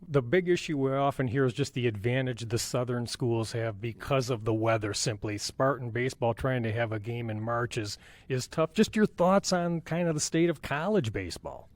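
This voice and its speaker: A man's voice: mid-range voice, moderate pace, no room sound. A man hosting a radio show, asking questions in a conversational interview.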